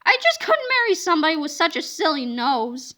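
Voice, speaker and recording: A woman's voice: high-pitched, very animated, brisk, bright, forceful tone, full character voice. A woman voicing a stern, mean female schoolteacher in a children's story, speaking bluntly. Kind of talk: children's storybook read-aloud.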